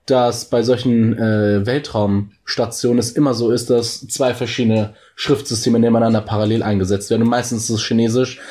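A man's voice: mid-range voice, steady tone, fairly quick, hardly any pauses, dark tone. A man in a casual discussion, explaining his points as a co-host and searching for his words. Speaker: co-host of a discussion about a TV series.

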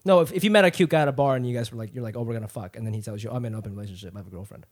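A man's voice: medium pitch, rapid-fire. A man from Japan who grew up in Ohio, with an American accent, chatting candidly and profanely as a comedian guest on a casual podcast. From Japan, raised in Ohio from age eight. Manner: candid, profane, rambling storyteller.

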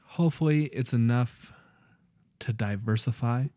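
A young man talks in a relaxed, profane way, co-hosting a casual podcast. His voice is mellow and dark. The sound is dry and close. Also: mid-range voice, measured pace, no room sound. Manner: loose and profane, laughs often. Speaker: podcast co-host. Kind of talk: casual chat.

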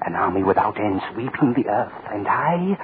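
An actor playing a cold, power-hungry state official in theatrical, menacing, gloating 1940s radio drama style. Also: male voice, fairly low pitch, sing-song, normal speed, a loud crowd. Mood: grandiose, gloating. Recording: tinny, hissy old radio recording.

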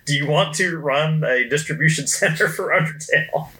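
A man's voice: fairly high pitch, normal speed, pushed and bright, slight background noise. A man talking casually on a podcast, recounting company history in a conversational way. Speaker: podcast guest, company co-worker.

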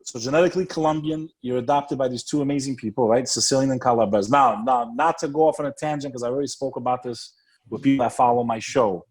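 A man's voice: mid-range voice, fast talker, rough recording. A male podcast host in conversation with a guest. He talks casually and streetwise, swears freely and circles back over his own phrases as he goes. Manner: streetwise, profane, repeats phrases. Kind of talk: casual interview.

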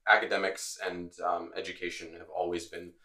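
A man's voice: low voice, monotone, brisk, bright, forceful tone. A young man from Latvia, a native Latvian speaker, chatting casually as a guest with many fillers and restarts. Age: young adult. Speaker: student executive director. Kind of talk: casual chat.

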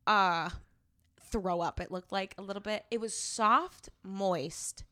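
Bright-voiced young woman chatting and joking. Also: mid-range voice, slow pace. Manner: animated, joking, frequent laughter, crude humor. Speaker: podcast co-host. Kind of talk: casual chat.

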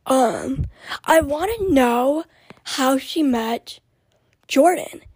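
A young woman hosting a podcast with a raspy voice, chatting in a scattered, rambling way and often dropping into whispered asides. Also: sits high, extremely slow, darkish tone, no room sound. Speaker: podcast host. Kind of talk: casual rambling chat.

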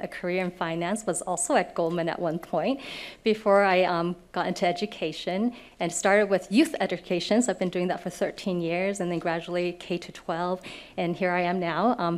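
Brisk woman founder, forties, slightly low voice, matter-of-fact.

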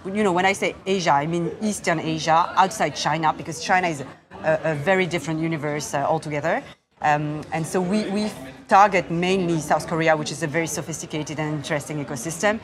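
A woman, an investor speaking in an onstage interview, measured and halting with many fillers. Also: deep voice. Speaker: investor, fund founder. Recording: crowd murmur in the background.

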